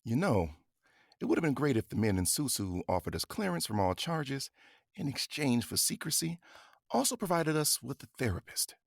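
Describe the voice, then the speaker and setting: A grieving young man in a horror audio drama. His voice is husky and full of fry, with lively swings in pitch. He is gentle, casual and wry. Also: normal speed, dry recording, studio quality. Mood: tired, wry. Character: gentle, grieving young male survivor, dryly humorous.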